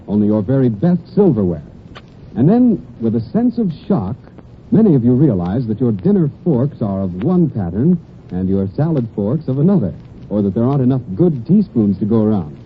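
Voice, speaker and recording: Animated vintage radio pitchman. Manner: earnest, persuasive, carefully phrased. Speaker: radio commercial announcer. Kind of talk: scripted 1940s radio sales pitch. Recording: dull, noisy 1940s radio broadcast.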